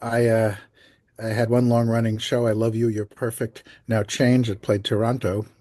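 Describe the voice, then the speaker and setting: A man's voice: fairly low pitch, flat delivery, normal speed, darkish tone, dry recording, clean studio sound. An older man answering interview questions as a composer, telling stories in a chatty way. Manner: chatty storytelling with light laughs. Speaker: guest, composer and lyricist.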